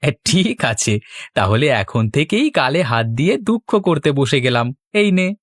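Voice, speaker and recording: A man's voice: a little high, big pitch swings, normal speed, no room sound, clean studio sound. A man voicing a flighty, childish cartoon young man in his early twenties, teasing in a whiny, mocking manner. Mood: sulky.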